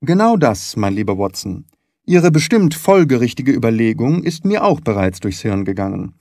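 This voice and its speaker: Guy doing a sharp-witted detective for an audiobook, probing, precise, sure of himself, very lively intonation. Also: mid-range voice, normal speed, dry recording, clean studio sound. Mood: analytical, self-assured. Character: shrewd, self-assured male detective, probing and courteous. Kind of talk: audiobook character dialogue.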